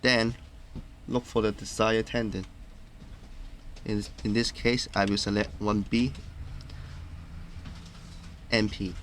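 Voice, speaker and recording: A man narrating a step-by-step tutorial in a plain, instructional way, halting from phrase to phrase. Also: lowish voice, a bit bright. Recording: clearly noisy background.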